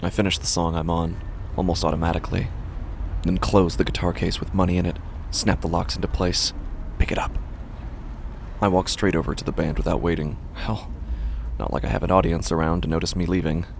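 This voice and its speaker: A man playing a desperate, resentful busker who tells his own horror story in a brooding, gravelly voice that can drop to a hush. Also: sits low, little pitch movement, fairly quick, a little hiss or hum. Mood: sly resolve. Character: desperate, resentful male street busker narrating his descent. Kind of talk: first-person horror audio drama narration.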